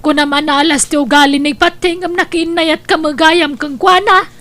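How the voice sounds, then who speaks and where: A woman's voice: high-pitched, fairly even intonation, normal speed, pushed and bright. An actress playing an indignant, incredulous mother in a comedy drama, speaking in exclamations. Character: indignant, incredulous mother and scolding younger sister. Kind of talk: Ilocano comedy drama acting.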